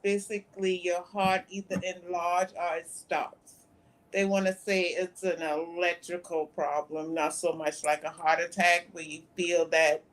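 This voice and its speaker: A woman in her fifties, a guest on a talk show, recounting events in a plain, soft-spoken way. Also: fairly low pitch, rough recording. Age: 50s. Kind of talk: interview conversation.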